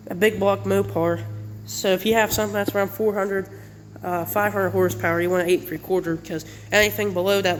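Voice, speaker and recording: Congested guy giving a car walkaround. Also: high voice. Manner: rambling, self-correcting. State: congested with a cold. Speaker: channel host. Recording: long reverb, clear background noise.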